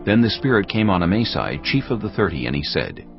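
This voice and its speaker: A man narrating scripture from a script in a measured, solemn manner. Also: deep voice, big pitch swings, creaky, muffled recording. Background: background music.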